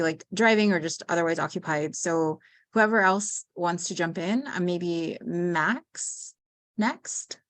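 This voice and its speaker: A woman's voice: on the low side, gravelly. A woman from Hawaii hosting a club meeting on a video call, friendly and a little hesitant. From Pearl City, Hawaii. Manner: friendly, hesitant, many ums. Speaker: club president and meeting host.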